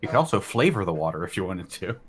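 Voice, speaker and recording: A man's voice: sits low, sing-song, fast, husky. A man chatting casually as a player in a tabletop role-playing game. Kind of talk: casual role-play chat.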